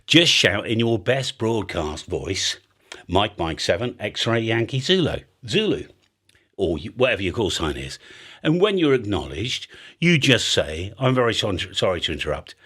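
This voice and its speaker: A British man hosting a casual explainer in a chatty, animated way, slipping into acted-out example exchanges. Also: lowish voice, normal speed, breathy edge. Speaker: ham radio video host.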